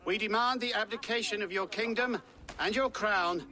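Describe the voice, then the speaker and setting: An actor playing a stern councillor in a video game, formally declaiming a royal judgement. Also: male voice, high voice, normal speed, sharp tone. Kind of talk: dramatic video game acting.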